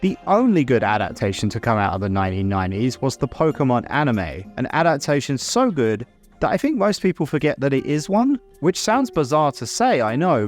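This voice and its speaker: A man narrating a scripted video essay in a conversational, opinionated way, with casual asides. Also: mid-range voice. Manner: conversational, opinionated, mildly sweary.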